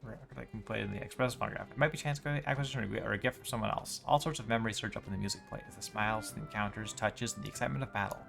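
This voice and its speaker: A man voicing a measured, literary narrator of descriptive game texts. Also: lowish voice, vocal fry, music in the background. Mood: wistful. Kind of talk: scripted text read aloud.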